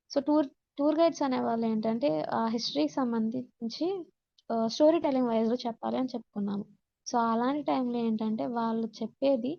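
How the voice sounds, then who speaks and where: Telugu woman lecturing, muffled creaky voice. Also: a little high, no echo. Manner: instructional, mixes Telugu with English terms. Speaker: teacher. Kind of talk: classroom lecture reading out points and explaining them.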